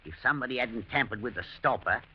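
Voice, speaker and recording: An actor playing a fussy, deferential English gardener with a working-class accent who stammers and grumbles, in 1940s radio drama style. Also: male voice, medium pitch, animated, quick pace, natural voice. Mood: grumbling, indignant. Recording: muffled, noisy vintage radio drama recording.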